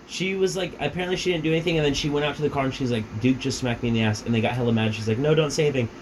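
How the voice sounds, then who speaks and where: A man's voice: mid-range voice, racing, slight background noise, low-quality recording. A young man co-hosting a casual podcast, telling anecdotes. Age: young adult. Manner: laid-back anecdote teller. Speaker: podcast co-host. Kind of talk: casual chat among friends.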